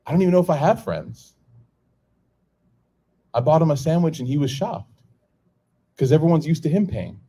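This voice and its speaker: A man's voice: fairly high pitch, moderate pace, long pauses, husky. A middle-aged man with an American accent gives an informal sermon to a live audience, telling stories, acting out the dialogue and laughing often. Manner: animated storytelling, acts out dialogue, laughs often.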